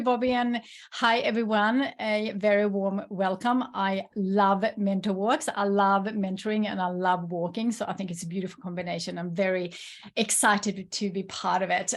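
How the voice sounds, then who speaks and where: A woman's voice: mid-range voice, moderate pace. A woman from Sweden, a native Swedish speaker with a Swedish accent, chattily and enthusiastically leading an informal online workshop. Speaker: workshop presenter, entrepreneur and coach.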